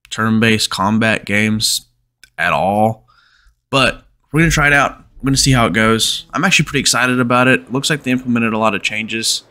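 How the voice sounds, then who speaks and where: A man's voice: on the low side, pushed and bright. Congested man chatting over gameplay. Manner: chatty, reads game dialogue aloud in his own voice. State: congested from a cold. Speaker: gaming channel host. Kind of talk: casual let's-play commentary. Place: home room, webcam in corner. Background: faint game music.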